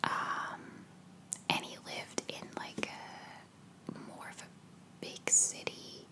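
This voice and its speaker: A young woman from Belgium with an American accent, whispering answers to viewer questions in a rambling ASMR Q&A at home on a sensitive microphone. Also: very slow. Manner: soft unvoiced whisper, rambling with fillers. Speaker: ASMR creator. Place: home living room.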